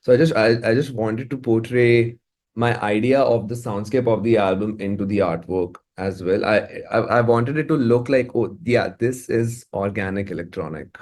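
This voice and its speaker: A man talking casually as an interview guest, halting and repeating words as he thinks aloud. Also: fairly low pitch, darkish tone. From India. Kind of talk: casual, reflective interview talk.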